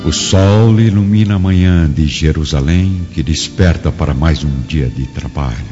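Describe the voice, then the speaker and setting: A man's voice: rumbling low, moderate pace. A Brazilian man narrating a radio drama in a solemn, theatrical, scripted style. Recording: dull audio, long reverb, music behind.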